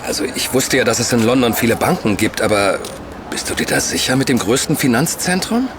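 A man's voice: mid-range voice, very animated, fairly quick, background noise, natural voice. A man playing a curious, questioning young man. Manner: asks questions, doubtful.

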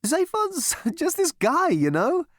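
A sly, beaming psychiatrist , as a male reader puts him on, with a high, sing-song lilt. The pitch swoops widely. The sound is dry and close. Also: wildly swooping pitch, slow pace, no room sound, heavily acted. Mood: smarmy. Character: smarmy cheerful male psychiatrist, grinning and sly. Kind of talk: audiobook character reading.

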